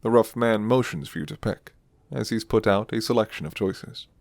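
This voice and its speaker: A man reading scripted second-person ASMR narration in a soft, measured storytelling manner. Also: fairly low pitch, vocal fry, no echo.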